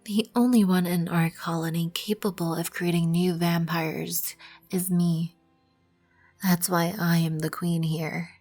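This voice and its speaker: A woman performs an imperious vampire queen in an ASMR roleplay. Her voice sits a little low, breathy and husky, and she speaks very slowly and formally. She is composed and proud, protective of her colony. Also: fairly even intonation, a little mellow. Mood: matter-of-fact. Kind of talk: ASMR roleplay, scripted second-person acting. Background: background music.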